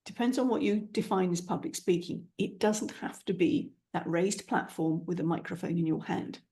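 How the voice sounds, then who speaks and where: A woman's voice: fairly low pitch, normal speed, dark tone. A woman hosting a podcast, chatty and encouraging, with playful asides to listeners. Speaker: podcast host. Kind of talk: upbeat podcast intro.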